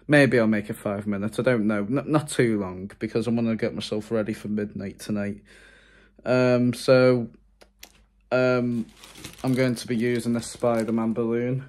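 A young adult man chatting casually and haltingly to his phone in an unscripted vlog, often sounding unsure. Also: mid-range voice, a little mellow. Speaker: vlogger. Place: small room indoors. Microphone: phone at arm's length.

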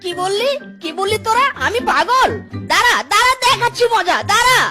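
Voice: very high voice, lively intonation, fairly quick. An actor voicing a childish, crazed fox husband in a cartoon, babbling, giggling and whining like a small child. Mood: indignant. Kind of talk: exaggerated cartoon voice acting. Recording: loud music under the voice.

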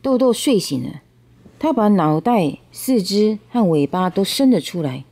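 A woman's voice: medium pitch, expressive, measured pace, dark tone. A woman reads a picture book aloud to a child, explaining bilingually and checking understanding with questions. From Taiwan.

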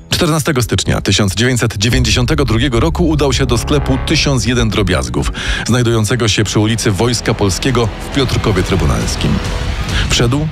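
A man reading a scripted true-crime story in a measured, dramatic storytelling manner. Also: medium pitch. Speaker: narrator. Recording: music playing underneath.

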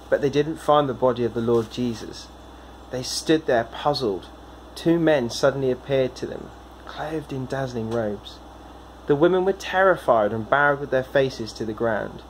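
A man's voice: mid-range voice, slight background noise, poor audio. A man in his thirties reading a Bible passage aloud at home into a phone. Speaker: Bible reader. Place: room at home, indoors. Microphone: phone propped at arm's length.

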